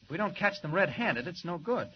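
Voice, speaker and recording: An actor playing an earnest, confident young reporter with urgent, assured delivery in 1940s radio style. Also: male voice, high-pitched, big pitch swings, fairly quick. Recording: muffled hissy 1940s radio recording.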